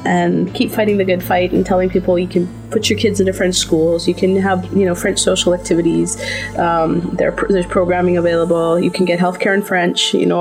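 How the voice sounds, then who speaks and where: Gravelly-voiced woman, music underneath. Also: lowish voice. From abroad. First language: Finnish. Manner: thoughtful, rambling with restarts. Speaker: interviewee. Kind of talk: casual interview answers.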